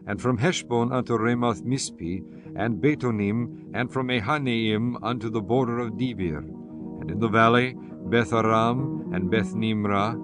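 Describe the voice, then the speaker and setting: A man reading scripture as an audiobook narrator, in a measured, formal and solemn manner. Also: medium pitch. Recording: music under the voice. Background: soft instrumental music.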